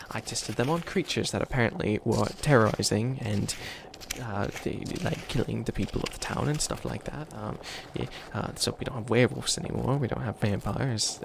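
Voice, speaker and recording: A man playing a bumbling, kindly wicked witch in an ASMR roleplay, soft-spoken and hesitant, with a lot of stammering and rambling. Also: fairly low pitch, gravelly, noisy background. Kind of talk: ASMR roleplay, improvised comedic monologue.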